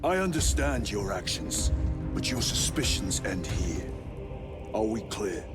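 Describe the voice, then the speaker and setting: A man playing a brusque, commanding space marine officer in a sci-fi game cutscene, with loud music behind. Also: lowish voice, very animated, a little slow. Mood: firm, rebuking. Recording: loud music over dialogue.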